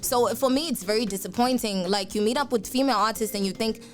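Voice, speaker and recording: A woman's voice: on the high side, quick pace. A young South African woman, an upcoming musician, speaking candidly as a guest in a radio interview, earnest and outspoken with lots of "like". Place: radio studio.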